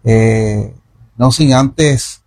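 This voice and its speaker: A man's voice: mid-range voice, very slow, darkish tone. A man hosting a radio interview, who introduces his guest in an effusive, formal way. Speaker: radio host.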